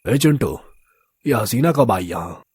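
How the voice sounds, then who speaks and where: A man's voice: medium pitch, lively intonation, unhurried, darkish tone, breathy edge, no echo, studio quality. A man playing a drunk, lecherous local thug boss in a story, leering and drawling street slang. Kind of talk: Hindi audio story character acting.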